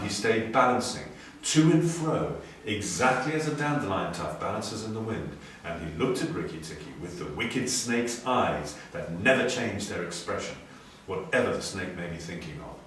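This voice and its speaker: A man reading a story dramatically, moving between hushed and emphatic delivery. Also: on the low side, expressive, moderate pace. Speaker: story reader. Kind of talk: expressive storybook narration. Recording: very echoey room, distant, faint noise.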